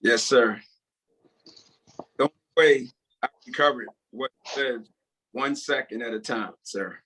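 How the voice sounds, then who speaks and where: A man reading the part of a repentant, humble prison inmate, earnest and deferential, in a halting script table read. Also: mid-range voice, sharp tone, breathy edge, rough recording. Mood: earnest, respectful. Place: video call.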